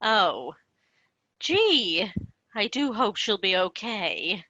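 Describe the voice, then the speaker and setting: A woman's voice: medium pitch, big pitch swings, very slow, pushed and bright, muffled recording, full character voice. A woman playing a gushing, dim, flirty American trophy wife in a comedy radio play. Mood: tactless.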